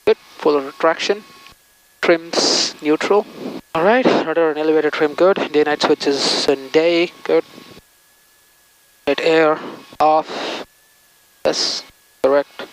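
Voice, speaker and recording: A man's voice: fairly high pitch, fairly even intonation, slow pace, pauses often, poor audio. A man, a pilot, calling out pre-flight checks to himself in clipped, methodical phrases in a small plane cockpit.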